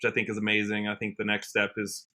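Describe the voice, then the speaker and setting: Casting: young American man, bright clear-cut tone, upbeat, conversational podcast guest. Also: lowish voice, completely flat delivery, fast talker. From Washington state, United States. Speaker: podcast guest, country musician. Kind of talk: casual interview chat.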